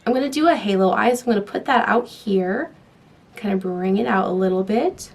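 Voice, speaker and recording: A woman's voice: medium pitch, lively intonation, brisk. A young woman vlogger, talking through a makeup tutorial in a chatty, enthusiastic way, with her voice a little off from a lingering cold. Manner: chatty, enthusiastic, talks while applying makeup. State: voice affected by a cold. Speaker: beauty vlogger. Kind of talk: casual makeup tutorial talk.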